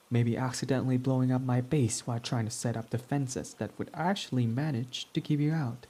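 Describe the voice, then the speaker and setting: A man's voice: mid-range voice, dark tone, close to an everyday voice. A man voicing a prickly, snarky male villain in an ASMR roleplay, talking in a sarcastic, mocking, dismissive way.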